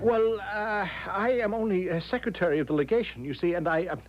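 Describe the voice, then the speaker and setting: An actor playing a fussy, flustered, by-the-book legation secretary who stammers and repeats himself in a radio drama. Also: male voice, high-pitched, brisk, over loud music, close to an everyday voice. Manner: stammering, repetitive, dithering, officious. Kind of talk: 1970s radio drama acting. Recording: dull lo-fi old radio broadcast.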